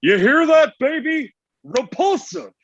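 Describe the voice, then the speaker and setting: A man acts out an insecure, petulant Santa for a comedy table read. The high voice swings widely and drags along slowly, over dull, muffled audio. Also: sing-song, a crowd in the background, full character voice.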